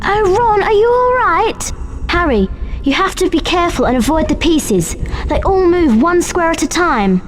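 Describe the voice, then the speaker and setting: An earnest, high-voiced young schoolgirl witch. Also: lively intonation, moderate pace, a little hiss or hum. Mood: worried, instructive. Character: anxious, encouraging young schoolgirl witch, bright and bossy. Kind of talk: video game cutscene acting.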